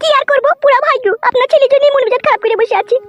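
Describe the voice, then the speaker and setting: A woman voicing a foul-mouthed, scolding village woman in a comedy cartoon, with a rural West Bengal accent and a pitched-up voice. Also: fairly even intonation, rapid-fire, a bit bright, faint music behind. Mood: aggrieved. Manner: scolding, complaining.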